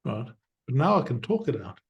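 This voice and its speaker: A middle-aged man from southern Africa who hosts a podcast, explaining things with energy and quick asides. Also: medium pitch, big pitch swings, muffled recording. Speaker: podcast host. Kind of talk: conversational interview.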